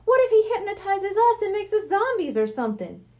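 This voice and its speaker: A woman voicing an excitable, gullible young boy in a children's storybook, wide-eyed and full of questions. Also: extremely high pitch, brisk, voice pushed up. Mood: spooked.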